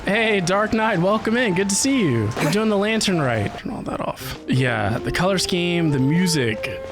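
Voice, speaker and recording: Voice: medium pitch, expressive, normal speed, music in the background. An enthusiastic streamer giving casual, chatty, exclaiming game commentary on a livestream.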